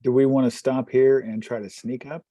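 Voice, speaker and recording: A man's voice: medium pitch, flat delivery, moderate pace, warm tone, no echo, clean studio sound. A man chatting casually as a player in a tabletop role-playing game.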